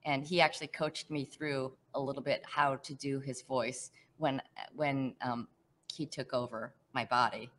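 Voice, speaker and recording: A middle-aged woman, an actress, answering fan questions in a chatty, animated way over a headset on a video call from home. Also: extremely low pitch, measured pace, slight background noise. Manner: chatty, warm, animated, laughs often. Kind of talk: casual virtual panel Q&A.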